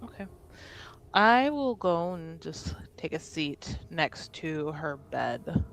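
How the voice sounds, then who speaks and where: Unhurried woman over faint background noise. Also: deep voice, very animated, vocal fry. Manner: hesitant, thinking aloud. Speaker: tabletop roleplaying player. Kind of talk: casual improvised roleplay chat.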